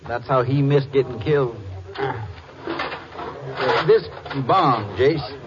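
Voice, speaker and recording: A man playing a folksy, gentle small-town Texas sheriff in an old radio drama, plain-spoken in manner. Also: mid-range voice, slow pace, lightly acted. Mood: puzzled, grim. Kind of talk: 1940s-50s radio drama acting. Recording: muffled, noisy old-time radio recording.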